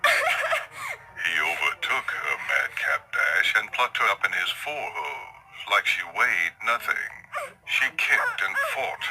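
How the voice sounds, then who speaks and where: A man narrating a horror story as a dramatic, theatrical scripted reading, at times with hushed emphasis. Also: fairly low pitch, very noisy.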